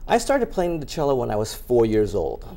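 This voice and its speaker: Taiwan-born man, dark halting speech. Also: medium pitch, wildly swooping pitch, creaky. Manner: halting, many fillers and restarts. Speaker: music school founder. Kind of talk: TV interview guest.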